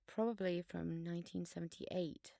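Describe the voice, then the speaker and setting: A woman playing a sarcastic, grudging teenage schoolgirl in an audio drama, with deadpan wit. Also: fairly low pitch, normal speed, vocal fry, dry recording, studio quality. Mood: cooperative.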